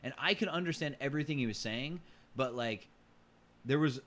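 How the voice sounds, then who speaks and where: A man talks casually as a podcast co-host, in a voice of average pitch. His delivery is halting, and he often repeats the start of a phrase before carrying on. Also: fairly bright tone.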